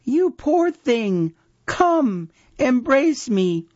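A man voicing a cold, scheming young woman who speaks softly and with false tenderness, on a dull lo-fi recording. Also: very high-pitched, sing-song, extremely slow, no echo, voice pushed up, heavily acted.

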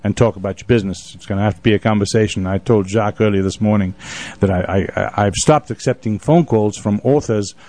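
A man's voice: on the low side, fast, mellow. An older man from South Africa hosting a casual radio conversation, rambling and joking, often repeating his words. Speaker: radio show host.

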